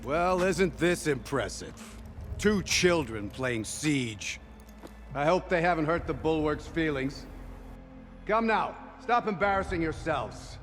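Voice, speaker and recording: A pompous, sneering clan chief in a video game. His high voice swings widely as he draws out his scorn slowly. It rings in a long reverb. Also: expressive, fairly bright tone, faint music behind. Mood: mocking, contemptuous. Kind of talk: theatrical game dialogue.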